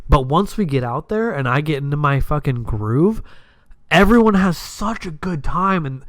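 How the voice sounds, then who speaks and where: A man hosting a podcast, telling personal stories in a casual, slangy, animated way with plenty of swearing and acted-out dialogue. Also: on the high side, moderate pace, no room sound. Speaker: podcast host.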